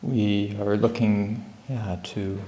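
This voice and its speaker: A man with a deep voice guides a meditation in gentle, calm instructions. His delivery is even, barely rising or falling, with plenty of space between phrases. The sound is roomy and echoing. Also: slight background noise. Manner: calm, unhurried, gentle instruction with long pauses. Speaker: meditation teacher at a Buddhist monastery. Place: indoor room at a monastery.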